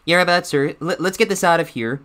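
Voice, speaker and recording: A man's voice: fairly high pitch, fairly quick, a bit bright, natural voice. A man voicing an eager, deferential cartoon shadow bear sidekick in hesitant, improvised banter. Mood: agreeable. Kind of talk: improvised character reaction banter.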